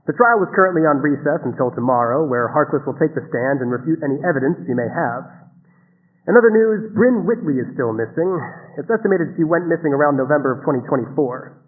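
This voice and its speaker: Through a tinny, echoing radio filter comes a chirpy male host with a highish voice. He delivers chatty, hammy small-town DJ patter in a radio drama. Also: expressive, fairly quick. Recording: tinny reverberant car radio sound.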